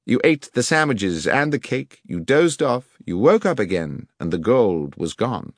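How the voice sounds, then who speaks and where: A man voicing a sharp, commanding middle-aged detective who asks precise questions, in an audiobook reading. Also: mid-range voice, sing-song, measured pace, no room sound, studio quality, pitched above the performer's own voice. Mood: probing. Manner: crisp, precise, probing questions.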